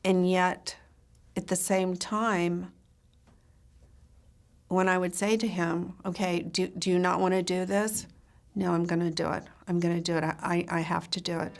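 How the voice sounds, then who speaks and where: A woman giving a measured, reflective recollection in a documentary interview. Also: fairly low pitch, flat delivery, vocal fry.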